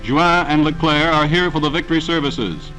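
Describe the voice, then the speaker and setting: A man with a fairly high voice delivers scripted wartime newsreel narration in a dramatic, declamatory way. The sound is that of a restored 1940s film soundtrack, with a prominent music score playing behind him. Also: normal speed, low-quality recording.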